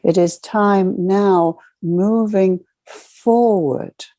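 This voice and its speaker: A woman leading a channeled guided meditation, speaking as a solemn spiritual guide in measured, ceremonial short phrases. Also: mid-range voice, warm tone, no echo.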